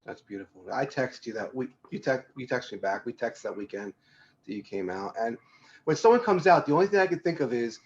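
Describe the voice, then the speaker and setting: A man's voice: medium pitch, expressive, fairly quick. A man hosting a conversational interview, speaking as the interviewer. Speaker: interviewer, host. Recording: muffled lo-fi audio with background noise.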